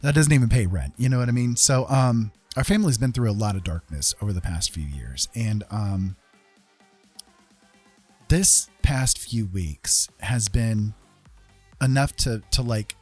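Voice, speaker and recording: Husky low guy streaming, earnest supportive chat, kinda halting, bit of music behind him. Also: a little mellow. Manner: earnest, encouraging, halting with pauses. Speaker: livestream host and digital artist. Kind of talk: casual livestream chat.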